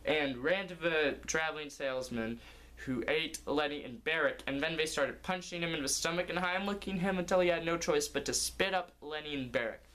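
A man's voice: fairly high pitch, normal speed, a bit bright, low-quality recording. A young man improvising a comic story as its narrator, recorded indoors in a living room. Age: young adult.

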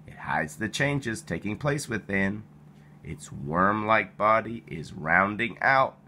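A man reading a children's picture book aloud in an expressive storytelling style, animated and playful. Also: on the low side, slow, slight background noise. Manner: animated, with playful exclamations and sound effects.

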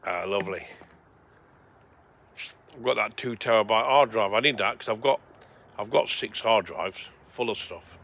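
A man from England chats to his viewers in a loose, rambling, sweary way. His voice is gravelly with a bright, forceful edge. He streams outdoors at night by the seaside. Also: on the low side, normal speed, pauses often, slight background noise. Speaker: vlogger. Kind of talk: casual rambling livestream chat.

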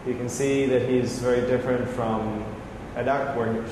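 A man's voice: mid-range voice, steady tone, moderate pace. Man, forties, gallery tour, enthusiastic, rambling, roomy echo, audible noise behind. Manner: enthusiastic, loose and rambling, many fillers. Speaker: gallery curator giving a tour. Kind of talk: casual walkthrough explanation. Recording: echoey room with background noise.

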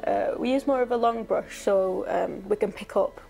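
Mellow young woman, slightly gravelly. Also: mid-range voice, normal speed. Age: young adult. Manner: soft, mellow and a bit hesitant. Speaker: glass painter. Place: glass workshop. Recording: faint music bed underneath.

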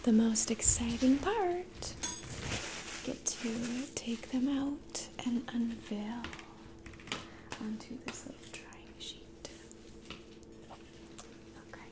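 A woman in her twenties doing a soft-spoken ASMR roleplay to the listener, gentle and hushed, drifting into whispers. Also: on the high side, background noise. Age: 20s. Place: dim indoor room at a table.

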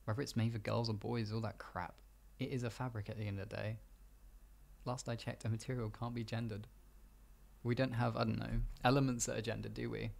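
A man acts out a devoted, protective boyfriend in an intimate comfort roleplay. His medium-pitched voice is husky, with plenty of gravelly fry. He speaks softly and reassuringly, as if to one beloved listener. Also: level tone, brisk. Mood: gentle, comforting. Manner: soft, reassuring, intimate, with mild swearing. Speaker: ASMR roleplay performer. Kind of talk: improvised ASMR comfort roleplay.

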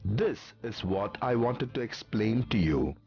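A man voicing a wise, confident village mullah in a children's cartoon, addressing his congregation in a slow, measured way. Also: fairly low pitch, expressive, vocal fry, dull audio, soft background music. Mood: instructive.

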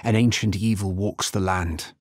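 Deep, husky, grave necromancer. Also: male voice, steady tone, normal speed, no echo, clean studio sound. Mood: stern, urgent. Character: grave, world-weary male necromancer hero. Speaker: video game voice actor. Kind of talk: dramatic fantasy game dialogue lines.

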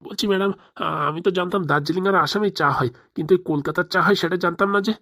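Woman acting fawning, fast-talking cartoon politician. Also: lowish voice, fairly bright tone, no echo, clean studio sound. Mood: flattering, puzzled. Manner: obsequious, wordy, deferential. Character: fawning, gullible middle-aged male local politician.

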